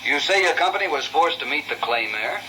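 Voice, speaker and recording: An actor playing an aloof, politely dismissive official in a 1950s radio drama, with a measured, hesitant and condescending manner. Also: male voice, fairly high pitch, expressive, sharp tone. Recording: noisy old 1950s radio recording.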